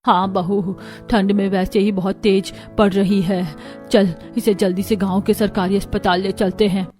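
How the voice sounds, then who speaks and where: A woman's voice: medium pitch, flat delivery, moderate pace, a little mellow, soft background music, lightly acted. A woman playing an elderly village mother-in-law who advises and reassures in a moral story. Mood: reassuring.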